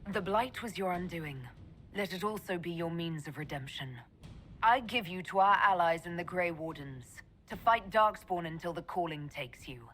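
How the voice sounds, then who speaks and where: A woman's voice: lowish voice, moderate pace, a bit bright, slight background noise, natural voice. Dry, authoritative woman mage commander, played by an actress. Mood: solemn. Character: sharp, dry elven woman mage leading an army. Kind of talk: scripted video game dialogue.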